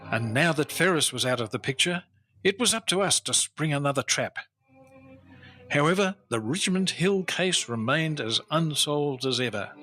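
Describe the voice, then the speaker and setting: Man playing a shrewd, bright-voiced husky period detective. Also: a little high, expressive, moderate pace, faint music behind. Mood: measured, confident. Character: shrewd, wry male Victorian-era customs inspector, narrating his cases. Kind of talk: old-time radio detective drama, narration and dialogue.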